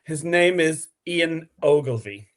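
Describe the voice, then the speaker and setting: A man's voice: on the high side, animated, slow pace. A man talking casually as a guest and contestant on a podcast game. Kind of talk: casual chat.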